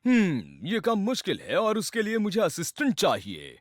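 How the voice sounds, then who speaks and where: A crooked cartoon surgeon, boastful and eccentric. The high voice leaps wildly up and down with theatrical self-assurance, over prominent music. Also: male voice, huge pitch swings, normal speed. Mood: self-assured. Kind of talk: Hindi cartoon dubbing.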